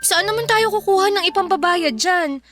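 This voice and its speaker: A woman's voice: very high voice, expressive, a little fast, fairly bright tone, faint music behind. A woman playing an earnest teenage daughter in a radio drama, politely pleading and protesting. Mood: worried, pleading. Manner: pleading, polite but complaining.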